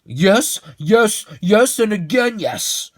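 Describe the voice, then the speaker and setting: Man putting on an excitable, drawn-out old storyteller. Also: high voice, breathy edge, pitched above the performer's own voice, exaggerated voice. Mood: animated storytelling. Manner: exclamatory, drawn-out theatrical delivery.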